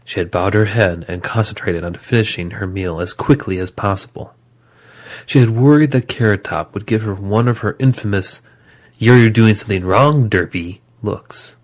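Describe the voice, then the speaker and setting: Guy narrating an audio drama story, lowish voice, reading from a script. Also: moderate pace.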